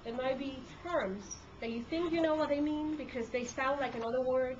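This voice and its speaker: A woman's voice: high-pitched, moderate pace. Peruvian-accented woman, lo-fi camcorder sound. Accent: Peruvian Spanish. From Peru. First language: Spanish. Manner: conversational, many fillers and restarts. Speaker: court reporter. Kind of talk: talk to a group. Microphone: handheld camcorder. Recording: dull lo-fi camcorder audio with room noise.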